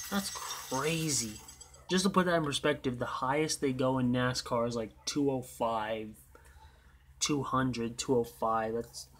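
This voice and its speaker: A young man with a hoarse, worn-out voice, chatting over his own gameplay. He rambles and trails off in a tired, easygoing way. Casual commentary with a loose, unscripted feel. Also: medium pitch, a little hiss or hum. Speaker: gaming channel host.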